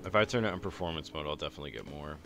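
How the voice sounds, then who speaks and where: A young man with a very deep voice, chatting casually and rambling while streaming a game. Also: rapid-fire, creaky. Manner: relaxed, rambling, laid-back. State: sore chest and ankle. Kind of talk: casual gaming chat. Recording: streamed voice chat, faint music behind.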